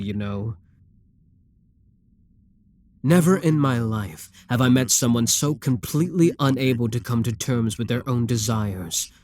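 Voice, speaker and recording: A man playing an arrogant, seductive demon king in a dramatic ASMR roleplay, speaking in a deliberate, commanding, theatrical way. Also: mid-range voice, darkish tone, natural voice.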